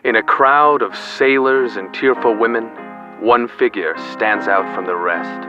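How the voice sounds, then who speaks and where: Young man as a low, portentous radio narrator. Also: over music, close to an everyday voice. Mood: grave storytelling. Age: young adult. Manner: portentous, measured. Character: grave, ominous 1940s radio play narrator. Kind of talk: dramatic scripted narration. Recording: dull radio-style audio, faint noise.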